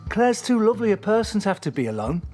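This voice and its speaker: A man's voice: sits high, very animated, fast, darkish tone, faint music behind. A man playing a hurt, earnest, loyal boyfriend in an audio drama, pleading and protesting. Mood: protesting, defensive.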